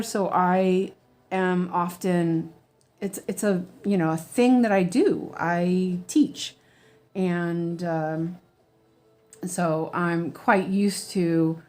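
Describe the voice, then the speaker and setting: American woman, unhurried, chatty, rambling, lots of ums, laughs easily. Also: lowish voice, a little mellow. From United States. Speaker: weaving teacher. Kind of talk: casual stitch-along chat.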